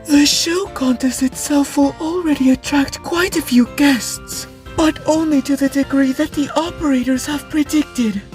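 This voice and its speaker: Casting: woman as polite young male anime show director, high-voiced, unhurried, deliberate and quietly scheming. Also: faint music behind. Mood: calm, planning. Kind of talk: anime fan dub acting.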